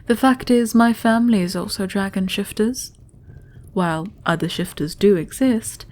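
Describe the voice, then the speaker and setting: Woman acting a mellow, composed fantasy queen. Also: medium pitch. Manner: regal, measured, formal. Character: gracious, shrewd, composed fantasy queen and mother. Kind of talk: fantasy audio roleplay acting.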